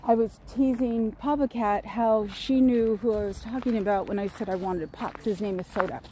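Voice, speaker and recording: A woman's voice: medium pitch, steady tone, moderate pace, mellow, a little hiss or hum, low-quality recording. A woman chatting casually while walking outdoors, teasing her friend and laughing often. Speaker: vlog host. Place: outdoors in nature.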